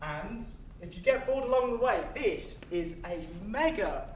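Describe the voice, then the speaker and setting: A man acting in a stage play as an earnest afterlife official, tender and resolute, measured and imploring, heard in an echoey space. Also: high voice, expressive. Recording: echoey stage, distant mic, faint noise.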